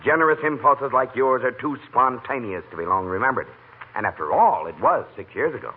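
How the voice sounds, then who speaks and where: A man playing a courteous, earnest gentleman visitor with formal, flowery politeness in an old radio comedy. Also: mid-range voice, lively intonation, a little fast, husky. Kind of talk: 1940s radio comedy acting. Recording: tinny old-time radio recording.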